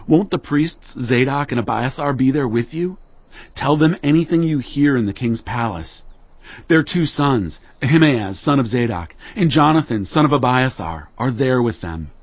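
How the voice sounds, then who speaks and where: A man's voice: mid-range voice, expressive, moderate pace, dry recording. An actor playing a weary, humble but commanding exiled king in a dramatized audio Bible. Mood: commanding, planning. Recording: dull audio over music bed.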